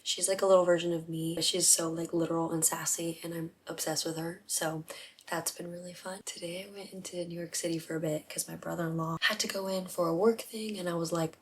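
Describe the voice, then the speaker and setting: A woman in her twenties chatting casually to her vlog camera in a hushed, half-whispered voice at home at night. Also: lowish voice, slight background noise. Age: 20s. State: voice rough from not talking. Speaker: vlogger.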